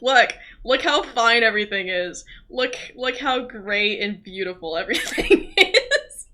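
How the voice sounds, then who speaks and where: Female game streamer, higher-pitched and crisply bright, casual self-talk, giggly and excitable. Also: a little slow. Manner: rambling, thinking aloud, self-talk. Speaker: livestreamer playing a role-playing game. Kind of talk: casual live gaming stream commentary.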